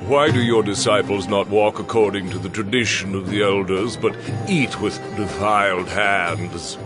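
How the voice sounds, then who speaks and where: A man's voice: fairly low pitch, moderate pace, over music, close to an everyday voice. An actor playing a stern, questioning male Pharisee in a dramatized scripture reading. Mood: disapproving.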